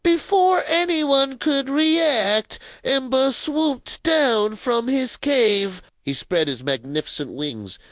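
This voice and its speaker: A woman narrating a children's cartoon story in an expressive, dramatic manner. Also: high voice, takes it slowly, few pauses, dry recording, clean studio sound.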